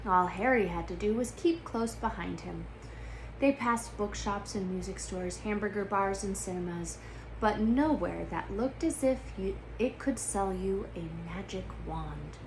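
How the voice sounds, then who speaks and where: A woman's voice: mid-range voice, unhurried, background noise. A woman reading a storybook aloud expressively, as a storyteller to listeners.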